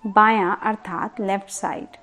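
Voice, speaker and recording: A woman guiding a sleep meditation as a yoga instructor, in a calm, soothing and deliberate manner with pauses and repeated words. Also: mid-range voice, faint music behind.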